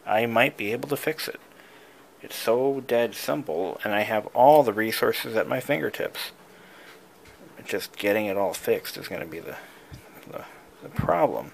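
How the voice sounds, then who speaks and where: A man giving a casual, unscripted show-and-tell as a hobbyist, thinking aloud in a musing, halting way. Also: fairly low pitch, expressive, creaky, a little hiss or hum. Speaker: hobbyist showing an old device he is restoring.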